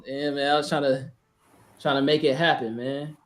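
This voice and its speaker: A man's voice: mid-range voice, flat delivery, moderate pace. A man hosting an online debate in a casual, slangy, playful way on a group video call. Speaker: host of an online debate tournament. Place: multi-person video call. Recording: video call with clear background noise.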